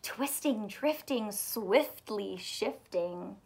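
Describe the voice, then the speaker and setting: A woman in her thirties reading a picture book aloud to children in an expressive, sing-song, teacherly way. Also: mid-range voice, drawn-out words, creaky, breathy edge. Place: small furnished room indoors.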